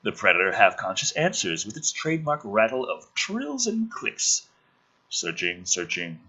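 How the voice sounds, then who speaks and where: Male script reader and commentator, bright, forceful and very animated, sardonic edge, slightly husky. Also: on the low side, measured pace, rough recording. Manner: sardonic, emphatic, profane. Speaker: host reading a film script aloud with his own commentary. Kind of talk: dramatic script reading with sarcastic asides.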